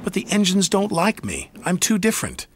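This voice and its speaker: Man as a high, earnest crane engine. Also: huge pitch swings, fairly quick, slightly rough, heavily acted. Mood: sad. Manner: earnest, polite. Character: shy, earnest, good-hearted male crane engine.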